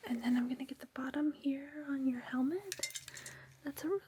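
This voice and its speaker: A woman whispering gently and reassuringly in an ASMR personal-attention roleplay, with a breathy, soothing delivery. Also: sits high, a little fast, background noise. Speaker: ASMR host playing a space suit fitting attendant.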